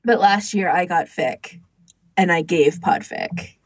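A woman's voice: on the low side, slow pace, breathy edge, no echo, faint music behind. A woman co-hosting a podcast in casual chat, talking informally and peppering her sentences with "like". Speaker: podcast co-host.

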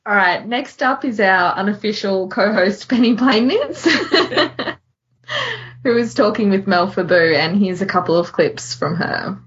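A woman's voice: mid-range voice, expressive, a little slow, a bit bright, lo-fi sound. A woman co-hosting a podcast in casual chat, giggly as she talks. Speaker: podcast co-host.

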